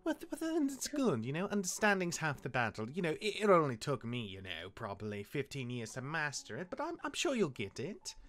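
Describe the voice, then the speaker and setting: Man as a demigod big-brother mentor, lively pitch. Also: a little fast, hardly any pauses. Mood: earnest teaching. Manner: conversational, instructive, hesitant asides. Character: chatty demigod elder brother, patient but fallible mentor. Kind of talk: fantasy audio drama acting.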